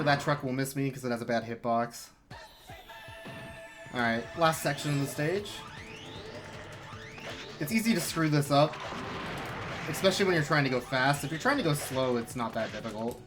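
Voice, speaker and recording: A young man casually commentating his speedrun of a game, explaining tricks with wry, self-mocking asides. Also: medium pitch. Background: game music.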